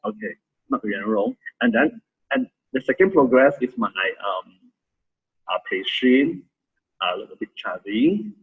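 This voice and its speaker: A man in his thirties with a Malaysian accent, sharing his story as a volunteer in a halting way with many restarts. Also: mid-range voice, big pitch swings, gravelly, breathy edge, narrow, tinny audio. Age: 30s. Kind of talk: casual testimony sharing.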